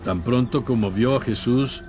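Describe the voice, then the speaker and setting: A man narrating a scripted scripture story in a measured storytelling manner. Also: mid-range voice, background noise.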